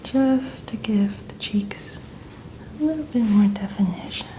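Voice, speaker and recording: A woman speaking in a soft, breathy half-whisper, gently and casually explaining as an ASMR makeup artist at work. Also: medium pitch, unhurried, rough recording.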